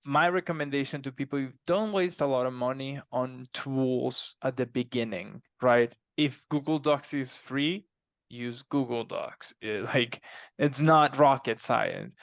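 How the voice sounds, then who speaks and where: Gravelly man chatting over dull audio. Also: mid-range voice, no echo. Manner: informal, halting, repeats words while explaining. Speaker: podcast guest, fiction co-author. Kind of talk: casual interview conversation.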